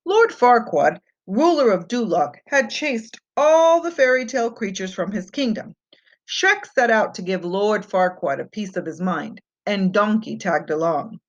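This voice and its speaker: A woman reading a children's fairy tale aloud as an expressive storyteller. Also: mid-range voice, measured pace. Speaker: storybook reader. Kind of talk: scripted children's read-aloud narration. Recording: muffled, dull lo-fi home recording.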